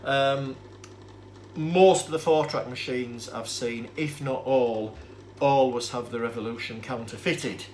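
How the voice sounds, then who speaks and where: A man with a mid-pitched voice talks through a hands-on demonstration with faint noise behind him. He explains in an informal, matter-of-fact way, rambling a little and hesitating as he goes. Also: measured pace. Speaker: presenter demonstrating vintage tape recorders. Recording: clear steady background noise.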